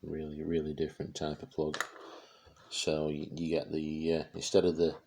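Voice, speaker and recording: A man giving a casual, unscripted product demonstration, speaking haltingly as he searches for words. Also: very deep voice, monotone, vocal fry, darkish tone, slight background noise. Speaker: presenter showing vintage radio gear.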